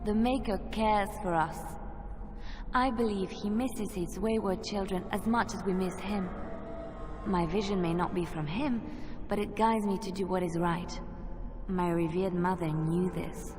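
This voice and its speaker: A woman's voice: mid-range voice, steady tone, a little slow, natural voice. A woman playing an earnest, devout young adventurer in a fantasy video game, sincere and resolute. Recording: long reverb with music behind. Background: music.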